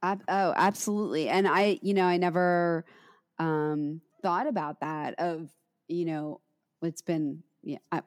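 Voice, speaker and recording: Woman, middle-aged, teacher, thoughtful, halting, lower voice. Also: fairly even intonation, clear, resonant tone. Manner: reflective, halting with many restarts. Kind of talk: casual conversation.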